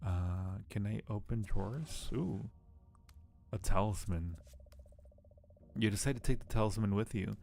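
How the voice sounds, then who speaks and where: A man's voice: fairly low pitch, a little slow, creaky, long pauses, a little mellow, a little hiss or hum. A man hosting a casual game livestream, reading story text aloud and chatting between murmured asides. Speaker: livestream host. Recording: music behind the voice. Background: music.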